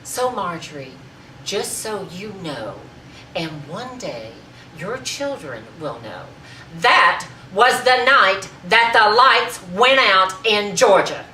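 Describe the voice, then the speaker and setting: A proud Southern lady acted by a woman delivers an indignant tirade with grand, emphatic phrasing. She takes her time over the words, in a theatrical monologue style. The sound is distant and echoey, with clear noise. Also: low voice, sing-song, slow pace, a bit bright, heavily acted. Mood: indignant pride. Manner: grandiloquent, emphatic, building declamation. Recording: echoey, noisy room, distant mic.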